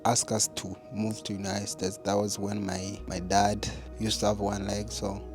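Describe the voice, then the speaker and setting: A young man from Uganda tells his story candidly, with a fairly low voice. He speaks in a documentary interview with clear music behind him. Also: completely flat delivery, normal speed.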